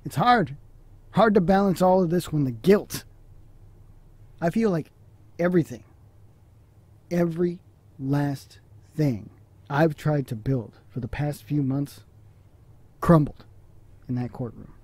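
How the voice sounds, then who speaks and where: An actor playing a despondent, guilt-ridden drinker and father in an audio drama, talking in a halting, downcast way. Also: fairly high pitch, lively intonation, a little mellow, breathy edge, no echo, exaggerated voice. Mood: despairing.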